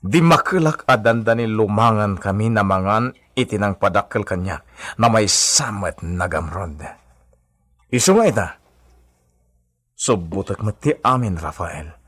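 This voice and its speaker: An actor playing a proud, scheming brother from a hacienda family in an Ilocano radio drama, with emphatic theatrical delivery. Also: male voice, lowish voice, expressive, a little slow, pauses often, fairly bright tone, no echo, studio quality. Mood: defiant, scheming.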